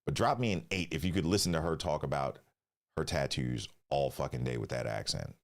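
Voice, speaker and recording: A man's voice: rumbling low, a little fast. A man hosting a live stream, reacting with slangy, profane banter and reading tips aloud. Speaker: livestream host. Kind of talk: casual live-stream commentary.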